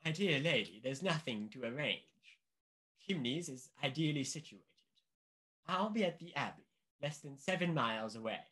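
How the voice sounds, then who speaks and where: An actor playing a pompous, condescending British government official in a radio play, speaking formally and emphatically. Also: male voice, medium pitch, lively intonation, moderate pace, pushed and bright. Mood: insistent.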